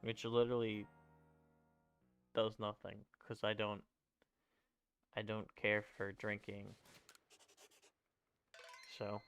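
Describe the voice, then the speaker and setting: A man's voice: on the low side, level tone, slow, long pauses, fairly bright tone, lo-fi sound, faint music behind. A twenty-year-old man casually chatting while streaming a game, rambling and answering viewers as he plays. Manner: rambling, self-correcting, answering chat. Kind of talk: casual gaming livestream chat.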